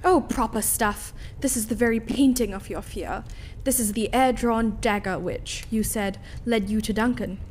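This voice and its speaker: A woman acting a high-voiced, imperious queen. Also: moderate pace, a little mellow, slight background noise, close to an everyday voice. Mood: scornful. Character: commanding, scornful queen covering for her husband. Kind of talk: dramatic reading of Shakespearean tragedy.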